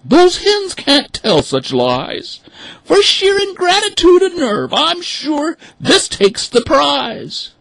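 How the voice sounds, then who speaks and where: A prim, scandalized mother duck, as a man puts her on in a high, piercing falsetto. She scolds slowly and with wide swoops of pitch, close and dry. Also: huge pitch swings, a bit bright, dry recording, heavily acted.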